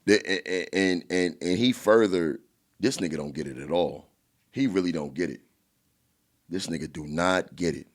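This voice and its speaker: A man with a New York accent and a booming voice, co-hosting a podcast in casual, blunt chat, swearing freely. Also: moderate pace. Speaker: podcast co-host.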